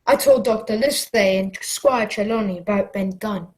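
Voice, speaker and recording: A woman's voice: medium pitch, moderate pace, darkish tone, natural voice, poor audio. A woman playing an earnest young boy adventurer who narrates his own tale in an audio drama. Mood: earnest, suspenseful. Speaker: narrator and lead character.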